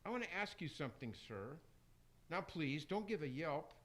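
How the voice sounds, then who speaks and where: A man playing an excitable, repentant Roman emperor with effusive, rhyming speech in amateur radio theater. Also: on the high side, normal speed. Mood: humble, eager.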